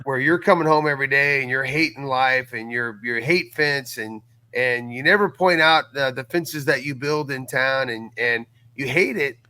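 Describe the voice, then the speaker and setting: A middle-aged man in casual podcast conversation, telling things in an earnest, folksy, animated way. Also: mid-range voice. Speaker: podcast guest and audio series creator.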